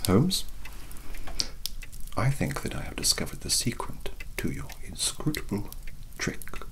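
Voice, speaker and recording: Guy putting on keen victorian doctor sidekick, higher voice, soft whispery, earnest. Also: wildly swooping pitch, unhurried, heavily acted, low-quality recording. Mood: eager. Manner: earnest, eager to impress.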